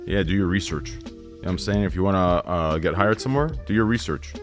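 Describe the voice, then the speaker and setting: A man's voice: low-pitched, a little fast, music in the background. A man chatting casually on a livestream as a streamer, blunt, profane and rambling. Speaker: Twitch streamer.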